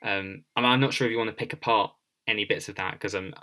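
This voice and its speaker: A man's voice: on the low side, fairly even intonation, fast talker, bright, forceful tone. A man hosting a podcast interview in a conversational way, feeling his way tentatively through roundabout questions and repeating words.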